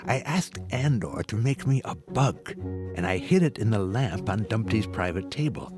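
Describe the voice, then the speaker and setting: An actor playing a vain, gossipy rodent nightclub proprietor in an audio drama, boastful and conspiratorial. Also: male voice, on the low side, very animated, moderate pace, over music. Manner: boastful, conspiratorial, sometimes dropping to a whisper. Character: vain, chatty rodent club proprietor, male, sly gossip. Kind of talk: theatrical audio drama storytelling.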